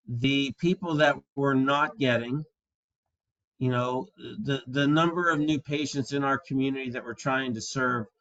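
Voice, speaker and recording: A man in his sixties giving an instructional talk to fellow practitioners in an earnest, deliberate, emphatic way. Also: mid-range voice, a bit bright. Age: 60s. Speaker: chiropractor and practice coach. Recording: dull, dry lo-fi audio.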